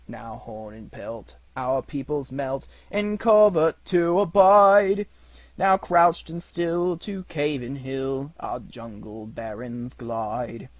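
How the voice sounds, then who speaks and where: Man as wolves declaiming a slow, solemn chant. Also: mid-range voice, darkish tone, no room sound, close to an everyday voice. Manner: declamatory, drawn-out. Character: wild wolf pack chanting a solemn jungle hunting song. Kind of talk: loud, measured verse recitation.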